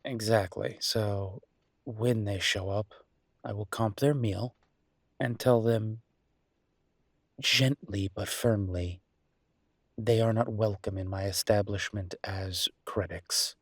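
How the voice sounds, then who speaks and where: A man playing an easygoing, teasing chef boyfriend in an intimate audio roleplay, chatting with affectionate, playful exasperation in a husky voice. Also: fairly low pitch, steady tone, takes it slowly, pauses often, dry recording, clean studio sound. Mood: enthusiastic, opinionated. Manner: conversational, playful, exasperated banter.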